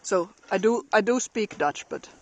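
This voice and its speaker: A woman's voice: medium pitch, animated, moderate pace, darkish tone, dull audio, slight background noise. A middle-aged woman live-streaming outdoors in a snowy forest, chatting casually with viewers and laughing often.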